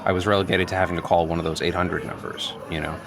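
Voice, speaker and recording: A man's voice: sits low, fairly even intonation, fast talker, creaky, a crowd in the background. An actor playing a neurotic, agitated office worker in his late thirties, wordy and hesitant, justifying himself point by point in improvised audio comedy. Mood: defensive, resigned. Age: late 30s. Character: neurotic, agitated office worker newly rejecting social norms.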